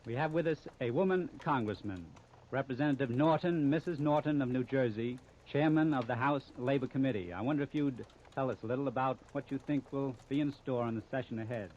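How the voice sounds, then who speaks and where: A man's voice: medium pitch, a little fast, a little hiss or hum. Polite male 1930s radio interviewer, muffled recording. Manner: polite, formal.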